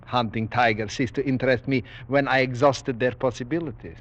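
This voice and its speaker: An actor playing a suave, menacing aristocratic general who hunts men for sport, speaking with courtly, formal boastfulness in 1940s radio style. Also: male voice, medium pitch, fairly even intonation, fairly quick, lightly acted. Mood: smugly confiding. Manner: courtly, formal, coolly boastful, savoring his words. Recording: dull vintage 1940s radio broadcast.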